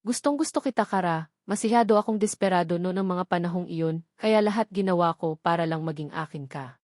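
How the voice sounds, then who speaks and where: A woman's voice: lowish voice, darkish tone, no room sound, clean studio sound, close to an everyday voice. A Filipino woman voicing a desperate, heartbroken rich man in love, pleading intensely in a romance audiobook. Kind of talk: dramatic audiobook character dialogue in Taglish.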